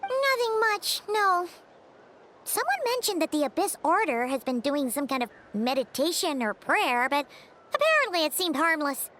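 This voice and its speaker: A woman voicing a tiny, sassy, childlike fairy companion in a video game, squeaky and exclamatory, calling herself by name. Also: very animated, moderate pace, bright, forceful tone, a little hiss or hum, full character voice. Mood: matter-of-fact. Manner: exclamatory, scolding, refers to self by name. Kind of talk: English video game voice acting.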